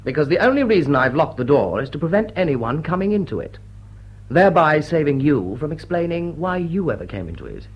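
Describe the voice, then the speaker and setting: An actor playing a suave, teasing lord with urbane, smug politeness in a classic stage comedy. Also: male voice, on the high side, very animated, brisk, slightly rough, lo-fi sound, exaggerated voice. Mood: smooth, courting. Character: suave, teasing gentleman host, a dashing lord. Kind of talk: classic stage comedy radio drama acting.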